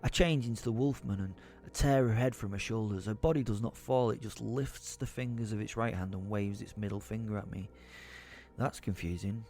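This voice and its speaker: A man's voice: fairly low pitch, a little fast, a little mellow, natural voice. A man playing a brooding, exasperated werewolf who tells his own story as theatrical first-person audio drama narration. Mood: seething. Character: brooding, foul-mouthed male werewolf, seething with helpless rage.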